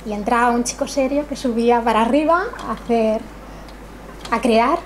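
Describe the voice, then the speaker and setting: A woman's voice: fairly high pitch, normal speed. A woman, the café's host, thanking her audience in a grateful, conversational and slightly nervous way. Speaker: café owner and event host. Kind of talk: warm welcome speech at literary event. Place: small cultural café with audience. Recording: noisy room ambience.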